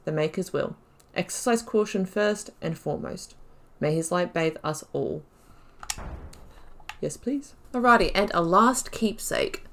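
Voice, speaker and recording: Chatty woman commentating on a game. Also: medium pitch. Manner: chatty, self-deprecating. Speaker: video game streamer. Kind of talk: casual gameplay commentary.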